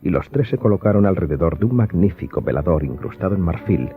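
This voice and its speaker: A man's voice: deep voice, expressive, fast, vocal fry, breathy edge. A man narrating an audiobook drama from a script in a formal, literary manner. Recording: thin muffled phone-like sound, faint music.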